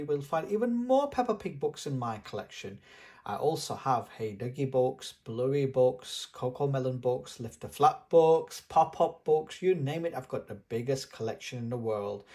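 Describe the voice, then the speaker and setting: Expressive children's storybook reader, lively pitch. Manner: expressive, addressing young children. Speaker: children's book reader and channel host. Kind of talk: scripted children's storybook narration.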